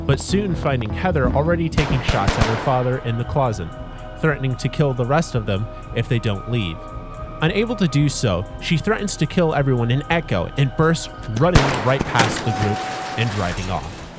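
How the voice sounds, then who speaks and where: A man narrating a story recap as a video creator, with casual commentary. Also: mid-range voice. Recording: music under the voice. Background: background music.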